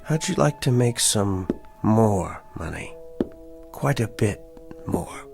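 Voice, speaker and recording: An actor playing a calculating, quietly persuasive man in a television drama, speaking deliberately and insistently like a salesman closing a deal. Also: on the low side, animated, gravelly, soft background music, exaggerated voice. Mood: coaxing, persuasive.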